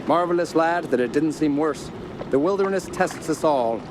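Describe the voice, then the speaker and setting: An actor playing a learned, middle-aged rationalist uncle in a radio horror drama, measured and lecturing, encouraging yet dismissive. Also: male voice, fairly high pitch, close to an everyday voice. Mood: reassuring, lecturing. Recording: clearly noisy outdoor ambience.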